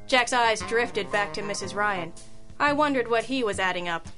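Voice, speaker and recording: A woman's voice: medium pitch, normal speed, over music, lightly acted. A woman playing a wry, tough girl detective in an old-time noir radio drama, sardonic and knowing. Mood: wry narrating.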